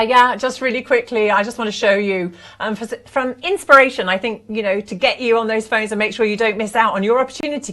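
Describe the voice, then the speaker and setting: A woman's voice: fairly high pitch, fairly bright tone. A woman presenting artwork on a live auction stream, enthusiastic and persuasive.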